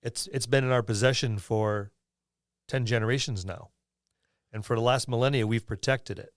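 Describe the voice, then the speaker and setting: A male podcast host with a medium-pitched voice chats casually about tabletop role-playing. He rambles through examples, and the sound is dry with no room echo. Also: fairly even intonation, moderate pace. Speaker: podcast host and experienced game master.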